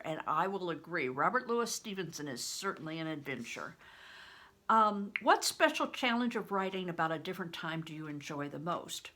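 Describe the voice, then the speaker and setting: An older woman reads aloud to her listeners in a bright, clear-edged voice. Her pitch moves up and down in a lively way as she shapes each phrase deliberately, slipping easily into informal remarks. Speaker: read-aloud host. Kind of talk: reading aloud an interview with informal asides.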